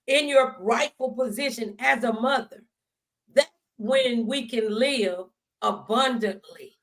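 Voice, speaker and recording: A woman's voice: fairly high pitch, slow pace. An American woman hosting a faith-based talk show, giving earnest, emphatic advice in a conversational way. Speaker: talk show host, minister.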